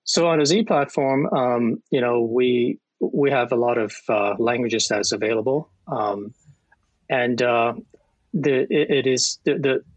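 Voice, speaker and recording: Husky-voiced man. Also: mid-range voice, unhurried, no room sound, low-quality recording. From Hong Kong. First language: Cantonese. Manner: plain explaining, with frequent fillers. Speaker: compiler engineer. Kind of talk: casual interview answers.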